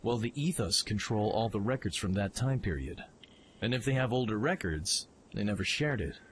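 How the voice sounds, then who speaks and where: A man playing a brash, eager young mech pilot in an audio drama, informal and excitable in his delivery. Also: fairly low pitch, moderate pace, a little hiss or hum, close to an everyday voice. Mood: suspicious, musing. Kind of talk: fan audio drama acting.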